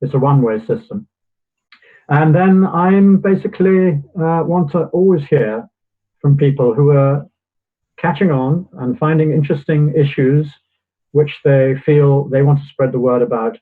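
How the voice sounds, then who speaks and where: A man speaking as a guest in a conversation, his voice going hoarse as he talks. He explains in a measured, steady way, and the sound comes through a thin, tinny phone line. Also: a little high. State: getting hoarse. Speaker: guest author. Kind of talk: casual interview chat.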